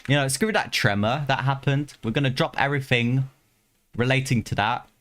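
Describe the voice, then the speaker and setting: A man's voice: mid-range voice, normal speed, fairly bright tone, slight background noise. A man giving casual, slangy live gameplay commentary as a streamer, grumbling and talking back to the game. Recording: game music behind the voice.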